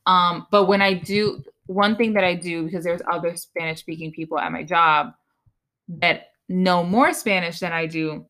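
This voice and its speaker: A woman's voice: fairly low pitch, steady tone, normal speed, sharp tone. A woman from Puerto Rico with a Puerto Rican accent, co-hosting a podcast in casual chat, mixing in Spanish and laughing often. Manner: chatty, laughs often, mixes Spanish into English. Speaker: podcast co-host.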